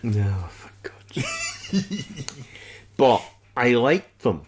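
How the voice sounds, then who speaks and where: A man chatting casually as a podcast co-host, blunt and halting in how he gives his opinions. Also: medium pitch, big pitch swings, darkish tone, a little hiss or hum. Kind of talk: casual review chat.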